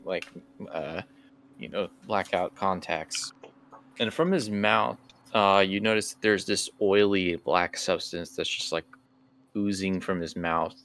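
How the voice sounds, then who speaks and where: A man game-mastering a tabletop role-playing game, narrating off the cuff in a halting, conversational way. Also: sits low, fairly bright tone, slight background noise.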